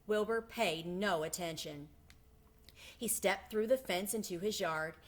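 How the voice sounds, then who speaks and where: A woman reading a children's book aloud in an expressive storytelling style. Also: medium pitch, measured pace. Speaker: storybook reader.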